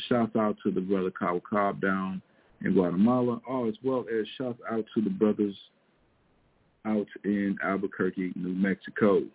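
A man hosting an online radio talk show and teaching the Bible. He talks casually, stretching his words and dropping in "man" and "uh" as he goes. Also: on the low side. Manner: loose, drawn-out delivery peppered with "man" and "uh". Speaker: podcast host and Bible teacher. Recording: tinny phone-line call.

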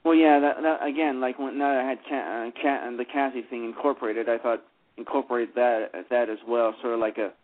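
A man's voice: medium pitch, fairly even intonation, brisk. A man talking about how he adapted a play, rambling with many restarts and fillers. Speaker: playwright discussing his adaptation. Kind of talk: casual radio discussion. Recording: phone-line radio call.

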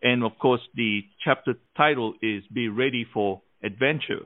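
A man's voice: medium pitch, normal speed, dry recording. Enthusiastic man on a dry tinny line. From Malaysia. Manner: enthusiastic, laughs often. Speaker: host. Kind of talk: conversational interview.

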